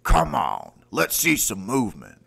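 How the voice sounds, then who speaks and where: An actor playing a gruff, hearty older warrior in a fantasy audio drama, with boisterous, grandiose old-fashioned talk. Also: male voice, on the low side, wildly swooping pitch, a little slow, fairly bright tone, heavily acted. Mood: boisterous goading. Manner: boisterous, old-fashioned grandiose phrasing, calls others laddie. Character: gruff, hearty older warrior rebel, man, boisterous yet secretly grieving.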